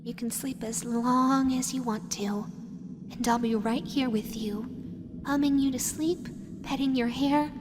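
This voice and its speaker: Woman as high childlike animatronic fox, slow, lonely, possessive, dreamy, sweetly sinister, horror audio roleplay. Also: fairly even intonation, noisy background. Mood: soothing, sinister. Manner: dreamy, lingering, repeats words softly. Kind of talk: scripted audio roleplay addressed to the listener. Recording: long hall-like reverb, music underneath. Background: background music.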